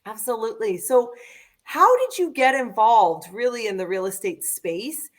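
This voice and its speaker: A woman's voice: on the high side, sing-song, moderate pace. A woman hosting a podcast, introducing her guest with effusive enthusiasm. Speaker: podcast host. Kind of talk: upbeat interview hosting.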